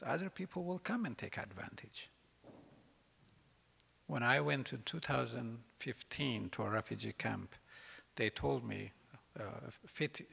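Gravelly, husky man in a hall. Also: medium pitch, fairly bright tone. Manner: earnest, halting with restarts. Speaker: keynote speaker. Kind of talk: lecture. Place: large college concert hall. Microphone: podium mic. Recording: long hall reverb.

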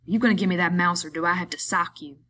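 A woman voicing a gruff, exasperated working man who gives brusque orders in rough rural slang, in an audiobook story. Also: on the low side, brisk, bright, forceful tone. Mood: stern demand. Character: gruff, bossy, exasperated working man minding his friend.

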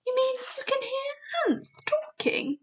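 A woman's voice: very high-pitched, very animated, slow pace, highs cut off, voice pushed up, full character voice. A woman voicing a curious, polite, easily astonished little girl in a storybook, eagerly questioning and exclaiming. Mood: amazed, curious. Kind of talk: storybook character voice.

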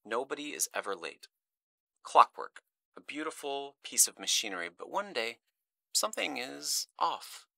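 A menacing male kidnapper, phoning a radio show with theatrical mock politeness. His voice swings widely from hushed to explosive, bright and forceful, recorded close and dry. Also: medium pitch, big pitch swings, moderate pace, creaky, no room sound, heavily acted. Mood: hushed, sinister. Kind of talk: audio drama acting.

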